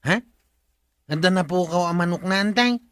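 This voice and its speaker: A man's voice: high-pitched, normal speed, a bit bright, no echo. A man playing a simple, cheeky village drinking buddy in a comedy radio drama. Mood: sheepish.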